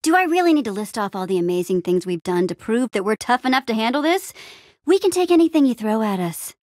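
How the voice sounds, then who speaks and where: A woman's voice: on the high side, big pitch swings, fast talker, a little mellow, no room sound, clean studio sound. A woman playing an upbeat, plucky young female adventurer in a video game, with an eager, wry and casual way of talking. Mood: encouraging, determined. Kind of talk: animated adventure game dialogue.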